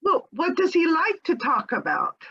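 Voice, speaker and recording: A woman playing a patient, probing marriage counselor in a comedy sketch, measured and full of mediating questions. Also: very high voice, huge pitch swings, bright, forceful tone, exaggerated voice. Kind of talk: readers theater comedy sketch. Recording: dull lo-fi sound.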